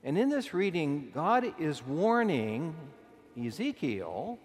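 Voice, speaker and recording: A middle-aged man, a bishop, preaching a sermon in a conversational way, slowing down to stress key words. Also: fairly high pitch, big pitch swings, darkish tone. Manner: conversational preaching, slow deliberate emphasis. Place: church. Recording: long church reverb.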